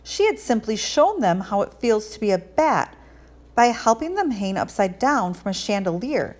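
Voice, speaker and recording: A woman reading a children's storybook aloud in an expressive teacherly narration. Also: medium pitch, moderate pace.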